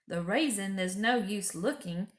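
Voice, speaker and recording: A woman's voice: mid-range voice, animated, measured pace, roomy sound, close to an everyday voice. A woman acts out a male talking beaver from a children's storybook. He is grave and wary, making blunt, weighty pronouncements. The room rings noticeably around the voice. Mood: urgent, blunt. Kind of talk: children's storybook character reading.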